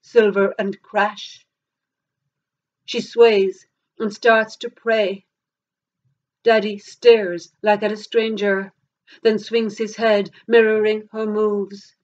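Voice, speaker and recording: A middle-aged woman with an Irish accent, a poet reading verse aloud in a measured, deliberate way. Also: mid-range voice, little pitch movement, darkish tone. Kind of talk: poetry reading. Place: plain indoor room against a bare wall. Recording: dull lo-fi camera sound.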